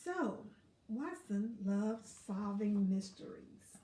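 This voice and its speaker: A woman reading a picture book aloud to children, expressive and pausing to put questions to them. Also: medium pitch, far from the mic. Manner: expressive, asks questions of young listeners. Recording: roomy echo, away from mic.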